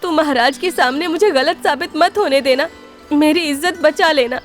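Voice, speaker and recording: A woman's voice: sits high, moderate pace, faint music behind, voice pushed up, natural voice. A woman voicing an honest, anxious young woman food seller in a cartoon story, with an earnest, pleading manner.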